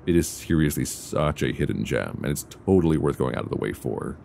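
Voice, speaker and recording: A man playing an affectionate, playful boyfriend in an intimate ASMR roleplay, talking softly and teasingly in an unhurried, conversational way. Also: very deep voice, lively intonation, gravelly, heavily acted. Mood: cheerful, attentive. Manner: soft teasing, unhurried, conversational with hesitant pauses. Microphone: close binaural microphone. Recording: close binaural recording, faint background noise.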